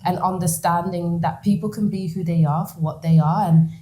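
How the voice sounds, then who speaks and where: A woman in her twenties from the Netherlands, telling her story as a guest in an interview, animated and quick to laugh. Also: lowish voice. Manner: animated, laughs often, acts out quoted lines.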